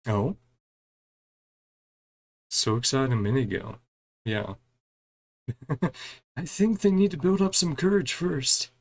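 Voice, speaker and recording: A man's voice: medium pitch, slow, long pauses, a little mellow. A man streaming a game in a casual, chatty way, reading dialogue aloud and murmuring short reactions between the lines. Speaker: gaming streamer playing through a story quest.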